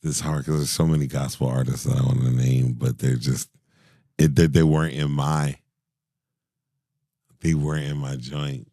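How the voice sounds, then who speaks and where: A man in his forties with a deep voice, a singer talking as a podcast guest in casual chat, animated, laughing and often restarting his sentences. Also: normal speed, gravelly, pauses often, no room sound, studio quality.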